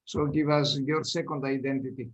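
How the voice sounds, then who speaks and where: A man hosting a podcast interview, asking questions eagerly and warmly, with somewhat nonstandard English phrasing. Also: mid-range voice, steady tone, normal speed, low-quality recording. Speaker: podcast host. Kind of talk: casual interview conversation.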